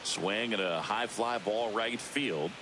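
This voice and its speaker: A man voicing a brisk, excitable play-by-play baseball commentator in a video game, speaking in clipped phrases that lift on big plays. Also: fairly low pitch, heavy background noise. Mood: brisk, focused.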